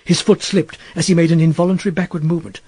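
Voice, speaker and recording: A man reading literary fiction as an audiobook narrator, in a wry, expressive storytelling manner. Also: on the high side, fast, husky, dry recording. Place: dry studio.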